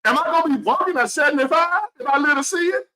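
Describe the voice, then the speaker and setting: A man in his fifties giving earnest, preachy motivational talk to his viewers, repeating key phrases and swinging between loud and hushed. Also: extremely high pitch, quick pace. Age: 50s. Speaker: motivational vlogger.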